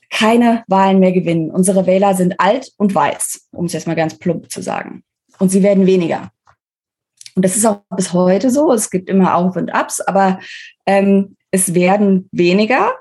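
A woman's voice: mid-range voice, darkish tone, breathy edge. A woman with a German accent explains things as an expert interview guest, informal and emphatic, often correcting herself as she thinks aloud.

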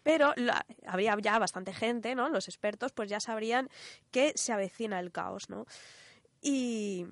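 A woman's voice: fairly high pitch, a little slow, no room sound. A woman with an accent from Spain co-hosts a radio programme, explaining things step by step in casual, conversational language. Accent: Spanish from Spain. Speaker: radio programme co-host presenting a segment.

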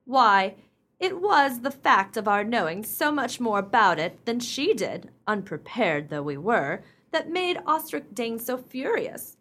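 A grand society matron played by an actress in a radio play, with a fairly high voice full of emphatic swings. She is pompous, formal and disapproving. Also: sing-song, a little slow, fairly bright tone, exaggerated voice. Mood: self-important.

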